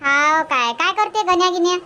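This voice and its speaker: A woman's voice: very high voice, animated, normal speed, bright, forceful tone, muffled recording, full character voice. A woman voicing a young, fretful village housewife in a cartoon, chatty and rustic, with a Varhadi Marathi accent. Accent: Varhadi Marathi, Vidarbha. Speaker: cartoon character voice. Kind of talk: homely cartoon comedy dialogue.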